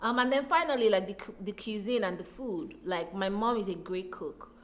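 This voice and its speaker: A woman's voice: mid-range voice, normal speed, fairly bright tone. A woman with a Nigerian accent talking reflectively as an interview guest, telling things expressively with emphatic repetition.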